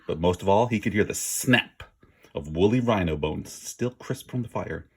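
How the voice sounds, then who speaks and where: A man's voice: sits low, lively intonation, moderate pace, slightly rough. A man reading a children's story aloud with dramatic, emphatic word stress and an informal manner. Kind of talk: expressive read-aloud narration with casual asides.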